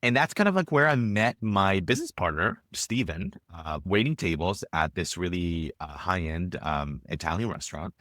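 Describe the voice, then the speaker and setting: A deep-voiced man talks through his story in loose, rambling sentences full of "um" and "you know." The sound is close and dry, with no room around it. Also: animated, moderate pace, few pauses, a bit bright, dry recording. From Israel. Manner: rambling, many fillers and restarts. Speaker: podcast guest, filmmaker. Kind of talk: casual interview chat.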